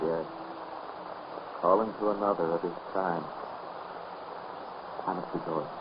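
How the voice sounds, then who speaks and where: An actor playing an anguished, evasive husband with a dark secret, halting and imploring in melodramatic 1930s radio style, on a noisy muffled recording. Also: male voice, low-pitched, fairly even intonation. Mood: troubled, evasive. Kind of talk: 1930s radio horror acting.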